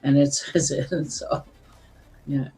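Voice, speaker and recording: A woman with a husky, slightly breathy smoker's voice talks at an unhurried pace. She chats casually as a guest on an online call, halting and laughing softly between phrases, with faint music in the background. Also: a bass voice, steady tone. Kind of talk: casual chat.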